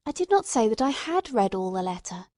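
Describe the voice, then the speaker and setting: Courteous but insistent gentleman investigator, read by a woman: highish voice, formal questioning, dry storybook sound. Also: very animated, fairly quick, no room sound. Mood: stern, insistent. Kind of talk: dramatic audiobook character reading.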